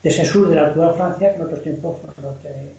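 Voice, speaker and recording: A man from Spain talks as an author about his historical novel, circling back and restarting his sentences. His voice sits a little high with a dark, mellow color. The sound is muffled and lo-fi, with a roomy echo around him. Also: brisk, creaky, a little hiss or hum. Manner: rambling, frequent restarts and repeated words. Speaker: novelist guest. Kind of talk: casual conversation on history. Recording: dull lo-fi sound in an echoey room.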